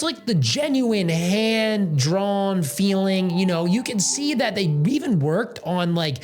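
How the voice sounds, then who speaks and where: A man hosting a casual video game review, talking conversationally with ad-libbed asides and punchy exclamations. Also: high-pitched.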